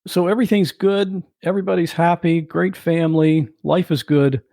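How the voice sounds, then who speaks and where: A man hosting a podcast, asking questions in a relaxed, conversational way. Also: a little high, normal speed, creaky, no room sound, clean studio sound. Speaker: podcast host. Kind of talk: casual interview.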